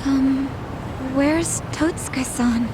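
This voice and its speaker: A woman's voice: high voice, takes it slowly, noisy background. A woman playing a polite, anxious daughter in a video game, hesitant and timid in her way of talking.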